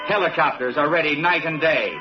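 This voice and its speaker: A muffled old broadcast carries a man narrating a documentary over music. His voice sits slightly high for a man, and he reads his script with solemn, dramatic phrasing. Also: fairly quick.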